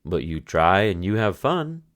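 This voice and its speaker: A man voicing a kind, reassuring turtle father in a storybook, in his own low voice. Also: very animated, a little slow, fairly bright tone, full character voice.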